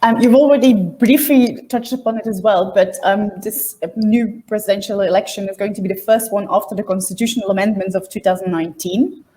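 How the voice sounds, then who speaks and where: A woman's voice: medium pitch, steady tone, fairly quick, few pauses, dark tone, low-quality recording. A woman interviewer asking polite, tentative questions with some fillers.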